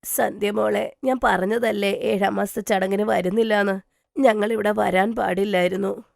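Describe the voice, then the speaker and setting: A woman voicing a gentle, worried, resigned mother who soothes and placates in a cartoon story. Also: medium pitch, steady tone, normal speed, vocal fry, dry recording, clean studio sound. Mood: regretful. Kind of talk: dramatised cartoon story dialogue.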